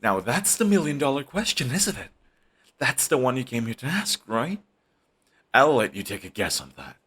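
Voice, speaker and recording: A man's voice: medium pitch, big pitch swings, moderate pace, breathy edge. A man voicing a brooding villain who is defensive and lovestruck, talking close and intimately to the listener. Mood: heated, defensive. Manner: intimate, shifts between hushed and heated. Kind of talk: audio roleplay monologue.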